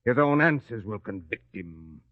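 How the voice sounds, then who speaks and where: A man's voice: sing-song, a little slow, dry recording. A man playing a cunning, commanding priestly plotter in a biblical radio drama, with a curt, sly delivery. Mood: sly, calculating. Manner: low, curt, sly, impatient. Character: cunning, commanding male elder plotting a conviction. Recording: dull, lo-fi radio drama recording.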